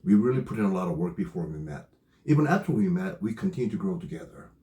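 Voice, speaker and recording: Loud playful man, lively low voice, echoey room, laughs a lot. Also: quick pace. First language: not English. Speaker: co-host. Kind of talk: casual couple conversation.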